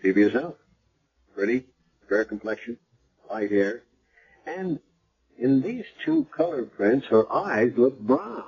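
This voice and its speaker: An actor playing a calm, probing hospital chaplain with a measured, matter-of-fact manner in radio drama style. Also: male voice, mid-range voice, breathy edge, heavily acted. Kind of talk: 1970s radio drama acting. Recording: dull vintage radio recording.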